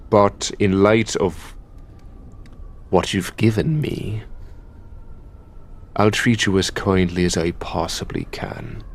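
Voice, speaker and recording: A man with an Irish accent playing a possessive, taunting demon lover in an intimate, gravelly ASMR roleplay. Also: low-pitched. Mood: possessive, seductive. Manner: commanding, foul-mouthed, taunting, drawn-out delivery. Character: possessive, menacing, teasing male high demon of lust.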